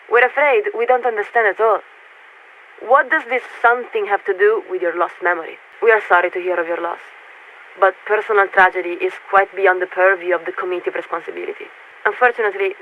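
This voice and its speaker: Formal female warship radio officer for a game, clipped n bureaucratic, tinny narrow signal w some static. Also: medium pitch. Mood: detached, dismissive. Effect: radio transmission filter. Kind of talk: scripted video game voice acting.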